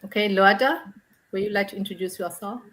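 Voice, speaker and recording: A woman's voice: mid-range voice, fairly quick, a bit bright, low-quality recording. A woman hosting and moderating an online business panel, reading questions in an upbeat, scripted way. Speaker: host and moderator.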